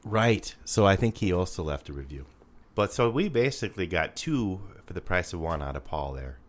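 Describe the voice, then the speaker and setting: A man's voice: low-pitched, very animated, normal speed. A man co-hosting a casual podcast, reading listener comments with a joking manner, laughing often, with a slightly nasal voice. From Rapid City, South Dakota. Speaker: podcast co-host. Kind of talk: casual chat.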